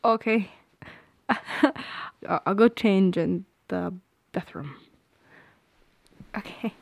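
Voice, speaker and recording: A woman's voice: mid-range voice, drawn-out words, vocal fry, dry recording, heavily acted. A young woman playing a shy, teasing friend with a secret crush in an intimate ASMR roleplay, playful and a little hesitant.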